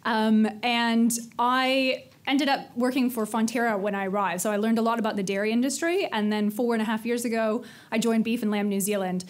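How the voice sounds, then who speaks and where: A woman from Canada with a Canadian accent, giving a conference talk from a stage, quick and chatty with plenty of ums. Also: a little high, steady tone. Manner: fast-talking, many ums, self-deprecating jokes. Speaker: presenter. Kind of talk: conference presentation. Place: large hall with stage and audience.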